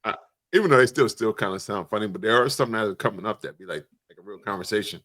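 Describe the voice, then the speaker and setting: A man's voice: fairly low pitch, fast, fairly bright tone. A man hosting a podcast in casual, joking group banter, rambling as he goes. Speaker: podcast host.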